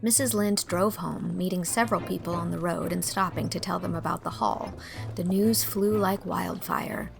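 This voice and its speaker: A woman's voice: lowish voice, fairly even intonation, normal speed, vocal fry, music in the background. A woman reading scripted narration for a classic storybook.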